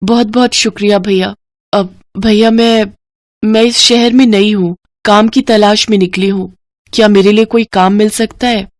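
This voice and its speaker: A woman's voice: mid-range voice, steady tone, normal speed, dry recording, clean studio sound, natural voice. Humble, pleading poor village wife played by a woman. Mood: humble, hesitant. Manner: polite, pleading, deferential.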